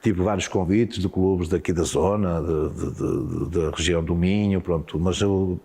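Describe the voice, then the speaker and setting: A man's voice: low-pitched, fairly even intonation, normal speed, mellow, in a large hall. A middle-aged man with a European Portuguese accent, a former handball player telling stories in an interview, stumbling and repeating words. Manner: hesitant, many repeated words and restarts. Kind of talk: relaxed interview storytelling.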